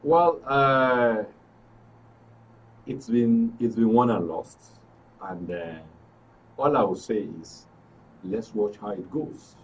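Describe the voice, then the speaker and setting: A man's voice: mid-range voice, slow, long pauses. Nigerian man discussing politics, slightly distant. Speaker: studio guest commentator. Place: radio and TV studio. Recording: distant mic, faint background noise.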